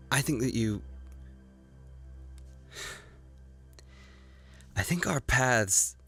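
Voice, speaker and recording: A man playing a restless young blacksmith's apprentice in an animated drama, hesitant, awkward and earnest. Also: mid-range voice, no echo. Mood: nervous, halting. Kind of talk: naturalistic animated series acting.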